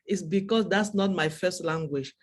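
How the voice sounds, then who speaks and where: A woman's voice: on the low side, normal speed. Heartfelt woman with Cameroonian French accent. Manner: heartfelt, repeats phrases for emphasis. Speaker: webinar speaker. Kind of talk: speech to an online audience.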